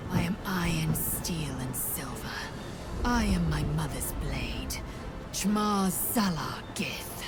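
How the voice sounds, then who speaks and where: A proud, fierce alien warrior woman in a video game. She declaims slowly and imperiously, her pitch moving widely, with music underneath. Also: expressive. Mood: fierce vow. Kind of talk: dramatic video game acting.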